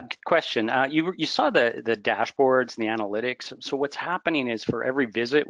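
A man's voice: mid-range voice, fairly bright tone, no room sound, muffled recording. A man answering questions in a product webinar, explaining in a conversational way with fillers. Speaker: product demo presenter.

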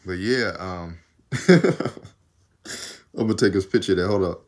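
Young man, Southern accent, low voice, rambling vlog chat, phone mic in a small room. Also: slow, darkish tone. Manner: rambling, talkative, laughs often. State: arms tired while undoing braids. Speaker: vlogger. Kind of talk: casual chat to camera. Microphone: phone camera at arm's length.

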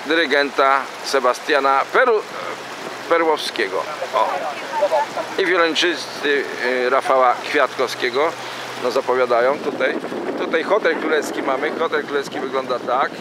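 A man's voice: mid-range voice, a little slow. A man giving a casual, rambling running commentary as he films from a small boat on a city river. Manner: chatty, rambling with fillers and self-corrections. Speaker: amateur tour guide on a boat. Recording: loud wind and boat engine noise.

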